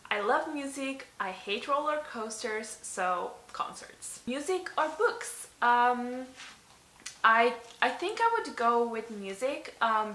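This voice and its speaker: A woman's voice: fairly high pitch, bright, forceful tone, echoey room. A young woman from Slovenia, a native Slovene speaker, chatting casually to camera in her bedroom, filling her answers with ums and likes. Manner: chatty, many ums and likes, laughs often. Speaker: beauty vlogger. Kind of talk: casual tag video chat. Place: bedroom, indoors.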